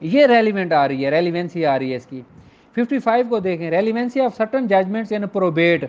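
Pakistani guy teaching law informally, pretty high voice, muffled lo-fi sound. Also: animated, a little mellow. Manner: explanatory, switches between Urdu and slowly read English. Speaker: law lecturer. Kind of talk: informal lecture, reading out and explaining legal articles. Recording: dull lo-fi recording.

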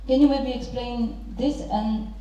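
A middle-aged woman moderating a panel, introducing guests and posing questions formally in halting, deliberate phrases. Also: a little high, fairly even intonation, dark tone. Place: large theatre stage. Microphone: handheld microphone through hall speakers. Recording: very echoey theatre PA, distant, muffled.

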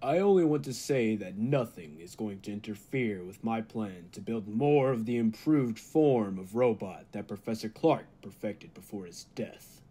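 An actor playing a cold, megalomaniac humanlike robot in an old-time radio drama, speaking deliberately and with grandiose menace. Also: male voice, on the low side, expressive, mellow, slightly rough. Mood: cold, calculating. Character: cold, megalomaniac humanlike male robot bent on world mastery.

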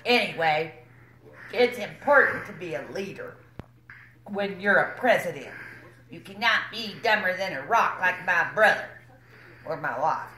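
Woman as goofy self-important male animatronic bear; lively swooping delivery, chuckles at own gags, faint hiss behind. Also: fairly low pitch, unhurried, long pauses. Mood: boastful. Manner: bumbling, self-congratulating, giggles at own jokes. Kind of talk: comic fan character monologue. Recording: roomy echo, faint background noise.

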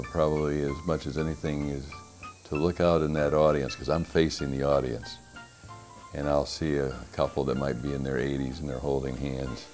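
Brief: modest older radio hobbyist, hesitant restarts, very deep warm tone, lapel mic outside. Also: male voice. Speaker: old-time radio show host. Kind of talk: casual interview chat. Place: shaded outdoor patio.

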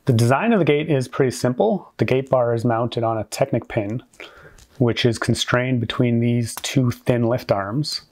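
A man's voice: medium pitch, a little mellow. Man narrating a hobby build explainer. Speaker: host of a brick-building channel.

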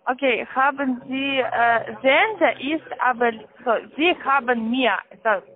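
Hohe Frauenstimme am Telefon, ausländischer Akzent. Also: female voice, high voice. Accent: foreign. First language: not German. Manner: halting, insistent. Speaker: phone caller from a call centre. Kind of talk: scripted phone instructions, spelling out slowly. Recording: tinny, narrow-band phone line.

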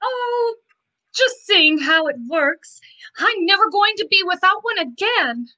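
A young actress playing a scheming, sugary gold-digger bride in a theatrical, cooing old-time radio mystery style. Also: female voice, very high-pitched, animated, a little slow, pushed and bright, heavily acted, low-quality recording. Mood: sugary menace. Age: young adult. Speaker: actor. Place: indoors, dark backdrop. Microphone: video call.

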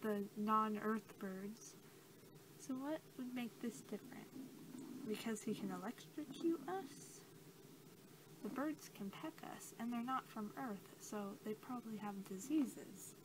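A young woman doing soft-spoken ASMR roleplay as a scientist, talking in a casual, rambling, hesitant way. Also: on the high side, a little slow. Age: young adult. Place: small cluttered room indoors. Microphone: camera mic at about arm's length. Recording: clearly noisy amateur camera audio.

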